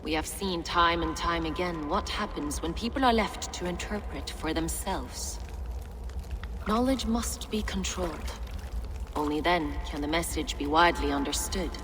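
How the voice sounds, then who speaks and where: A woman playing a dying, zealous abbess in a video game, solemn and severe, with grand measured oratory. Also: fairly low pitch, close to an everyday voice. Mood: solemn fervor. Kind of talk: video game dramatic acting. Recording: long cavernous reverb, clear background noise.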